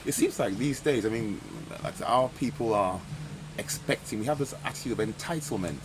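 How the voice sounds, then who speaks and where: A man's voice: medium pitch, lively intonation, normal speed. A man hosting a podcast interview, asking questions and affirming often. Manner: frequent backchannel affirmations. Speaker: podcast host. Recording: audible background noise.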